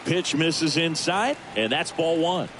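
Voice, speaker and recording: A man's voice: on the high side, expressive, slow, over a noisy crowd. A man playing a baseball play-by-play announcer, calling the action in a video game's sports commentary. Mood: steady, attentive.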